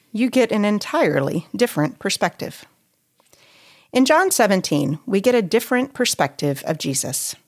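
A woman reading a scripted devotional in a measured, deliberate way with pauses between phrases. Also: on the low side. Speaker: devotional writer and reader.

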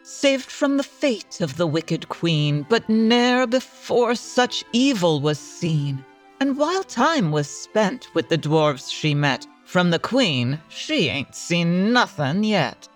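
A woman narrating storybook verse for a comic radio play, with a measured, mock-grand delivery. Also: on the low side, sing-song. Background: music.

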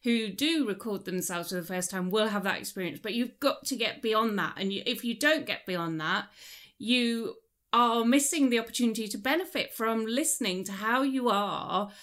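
A woman's voice: on the high side, lively intonation, normal speed, fairly bright tone. Agreeable woman hosting a podcast. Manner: agreeable, reflective. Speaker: podcast host. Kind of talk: casual interview chat.

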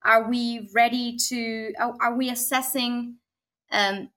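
A woman from Argentina, a technology consultant, speaking as a guest in a podcast interview, thoughtful, with frequent pauses and tag questions. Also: on the high side, level tone, bright, forceful tone. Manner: thoughtful, many fillers and restarts, frequent "right?".

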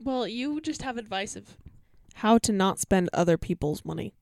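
A woman's voice: mid-range voice, expressive, normal speed, vocal fry, a little mellow, no echo, clean studio sound. A young woman co-hosting a casual chat, teasing her friend and laughing. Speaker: co-host.

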